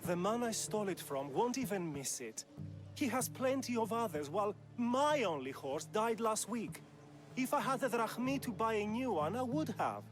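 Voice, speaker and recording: An actor playing a poor, ashamed Greek farmer turned thief, defensive and earnestly pleading, in a video game. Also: male voice, sits high, sing-song, normal speed. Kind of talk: video game cutscene acting. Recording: music behind the voice.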